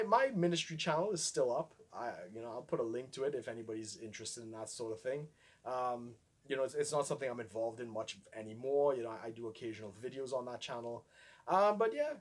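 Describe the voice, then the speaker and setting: A man in his forties from Trinidad and Tobago with a light Trinidadian accent, talking casually to camera in a clear, projected speaking voice with frequent ums and little laughs. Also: medium pitch. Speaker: vlogger.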